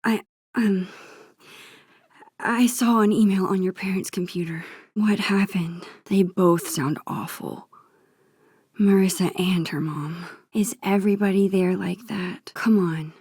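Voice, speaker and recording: A shy, nerdy teenage girl from a video game, speaks softly with plenty of breath. She takes her time, sounding sweet and earnest with a gentle teasing streak. The sound is dry, with no room around it. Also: mid-range voice, a little slow, husky, no echo. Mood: concerned.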